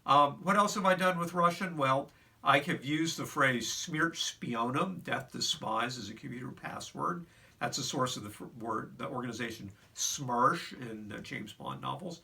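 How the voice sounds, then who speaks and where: A man's voice: medium pitch, normal speed, creaky, bright, forceful tone, recorded from a distance. An older man telling a reflective personal story in a conversational way, with fillers and restarts.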